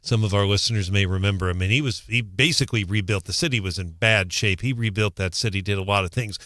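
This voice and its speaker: A middle-aged man from St. Louis with a lowish voice talks casually on a morning radio show. He rambles and restarts his sentences, recorded close and dry in a studio. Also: fast, pushed and bright, no echo. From St. Louis, Missouri. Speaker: radio news reporter.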